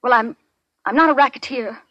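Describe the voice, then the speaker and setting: An earnest, troubled heroine acted by a woman in a 1940s radio drama. Her voice is fairly high and breathy. She pleads with conviction in a dry, close studio sound. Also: brisk, no room sound, highs cut off, lightly acted. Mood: hurt, resolute. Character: earnest, troubled female city official, racketeer's sweetheart.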